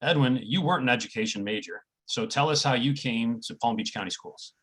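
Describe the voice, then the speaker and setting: A man moderates a career webinar over a video call, brisk and upbeat as he moves through prepared transitions. His voice sits at an ordinary middle pitch. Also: fairly bright tone, slightly rough, rough recording. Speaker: panel moderator.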